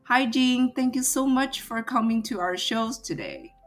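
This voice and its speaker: A woman's voice: on the high side, very animated, unhurried. A woman hosting a podcast, reading an introduction and then asking questions. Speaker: podcast host. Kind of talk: scripted introduction then interview. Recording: music bed under voice.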